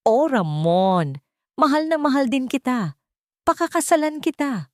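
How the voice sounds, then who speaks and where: A woman's voice: high voice, big pitch swings, measured pace, dry recording, studio quality, pitched above the performer's own voice. A woman voicing a glamorous, scheming fiancée with an affected sweetness, in a narrated story. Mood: gushing. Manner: saccharine, affected.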